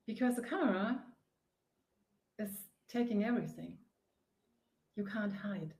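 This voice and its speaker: A woman with a German accent talks earnestly to her live-stream viewers, often hesitating as she searches for the right words. Her voice sits at an ordinary middle pitch. The room around her has a noticeable echo. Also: a little slow, a little mellow. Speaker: host, face yoga founder. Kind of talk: inspirational live-stream talk. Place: sitting on a sofa. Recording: echoey room.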